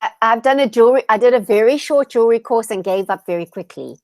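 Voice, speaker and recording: Female interview host, slightly high voice, conversational questioning, dry close recording. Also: fast, dry recording. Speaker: interviewer and personal stylist host.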